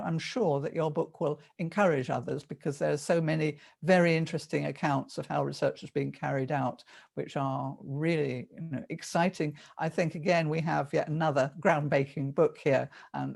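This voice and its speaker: Gravelly woman moderating a panel. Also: deep voice, a little mellow. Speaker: series editor moderating a panel.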